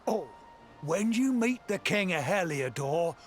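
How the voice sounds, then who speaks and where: High-voiced, unhurried folksy village elder. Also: male voice, animated, soft background music. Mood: jovial, sly. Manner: folksy, wheedling, theatrical. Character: chatty, jovial country village elder, slightly scheming. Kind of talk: scripted video game voice acting.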